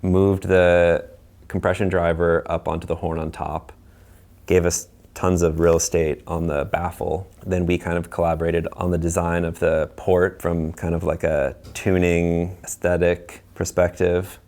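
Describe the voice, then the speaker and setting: A man's voice: sits low, level tone, measured pace, darkish tone. A man who designs audio gear, explaining technical choices in an informal, conversational way.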